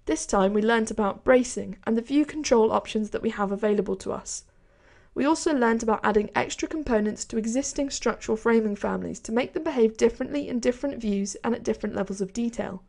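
A woman instructor narrating a scripted software tutorial, explaining each step methodically. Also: a little high, fast, vocal fry. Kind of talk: scripted tutorial narration over screen recording.

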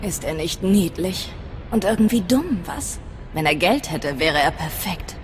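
A woman's voice: fairly low pitch, lively intonation, unhurried, a little hiss or hum. A woman playing a sly, cynical mercenary companion in a video game, sardonic and teasing. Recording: long reverb, faint music behind.

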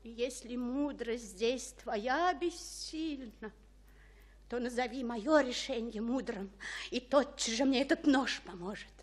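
A woman's voice: fairly high pitch, slow. An actress playing a desperate, passionate young noble girl in love, with fervent dramatic delivery in a radio tragedy. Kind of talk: Russian radio play acting of classical tragedy.

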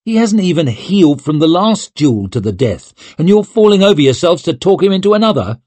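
A man's voice: a little high, sing-song, fairly quick, a little mellow, dry recording, voice pushed up. A man voicing a sensible, exasperated young man scolding his friend earnestly in an audiobook.

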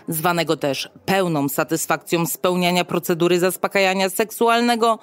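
An actress playing a brisk, officious receptionist at a futuristic state clinic, speaking in formal, bureaucratic sales patter in an audio drama. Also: female voice, on the low side, close to an everyday voice. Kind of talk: Polish audio drama acting.

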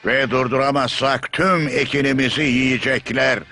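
Forceful, earnest cartoon leader. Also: male voice, medium pitch, fairly even intonation, normal speed, soft background music. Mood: grave, urgent. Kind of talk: animated cartoon dub acting.

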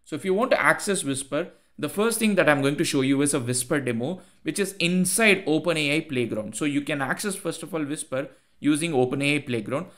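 A man in his thirties with a thick Indian accent, a Tamil speaker, explaining software in a screen-share tutorial over a headset mic. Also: fairly high pitch, a little fast. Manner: earnest, repeats phrases for emphasis. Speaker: tech YouTuber.

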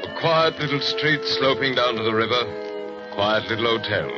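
A man's voice: mid-range voice, normal speed, lightly acted. A man playing a brooding ship-surgeon storyteller. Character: male ship surgeon telling eerie tales. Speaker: narrator. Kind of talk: 1940s radio narration. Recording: dull old 1940s radio broadcast. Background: music.

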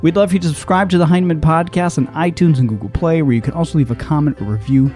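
A man's voice: on the high side, fast, a little mellow. A man reading a scripted podcast outro as host. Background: faint music.

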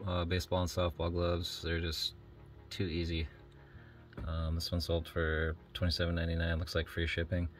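A man narrating a reselling vlog in a casual, rambling, matter-of-fact way with plenty of filler words. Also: low voice. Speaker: online reseller hosting a shipping vlog. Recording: music behind the voice. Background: background music.

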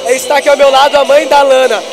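A young man reports live from a packed festival hall, holding a handheld mic. He sounds upbeat and pushes his voice up over the noise. A loud crowd fills the background. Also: very high voice, flat delivery, fast, over loud music. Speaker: event reporter. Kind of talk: on-the-spot interviews.

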